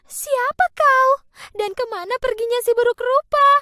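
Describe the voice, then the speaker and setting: A woman's voice: very high-pitched, normal speed, sharp tone, soft background music, voice pushed up. A woman voicing a kind, tender young woman in a cartoon fairy tale, speaking in an emotional, tearful way.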